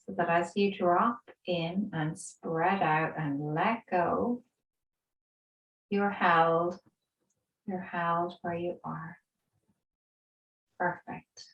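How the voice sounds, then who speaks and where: Female meditation guide, lowish voice, very slow and soothing, recorded at a distance in a roomy space. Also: steady tone, dull audio. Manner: soothing, gentle, unhurried with pauses. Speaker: yoga instructor. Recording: roomy echo, distant microphone.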